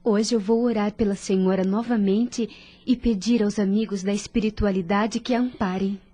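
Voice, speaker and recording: A woman playing a devout, caring young woman at a sickbed in an audio drama, gentle, earnest and consoling. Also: mid-range voice, steady tone, normal speed, lightly acted. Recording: soft music behind voice.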